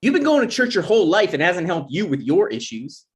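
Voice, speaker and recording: A man's voice: high-pitched, very animated, brisk, breathy edge, heavily acted. A man playing a touchy, argumentative adult son in a comedy script table read. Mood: defensive. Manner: defensive, argumentative.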